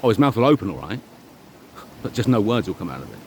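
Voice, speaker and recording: A middle-aged man giving a rambling, informal monologue, speaking emphatically and self-assuredly in halting, repeated phrases. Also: lowish voice, very animated, a little mellow, a little hiss or hum. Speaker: vlogger.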